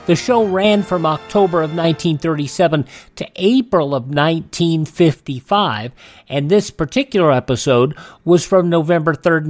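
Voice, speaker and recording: A man's voice: a little high, dry recording. A man hosting a classic radio show, introducing a programme in a conversational style. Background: faint music.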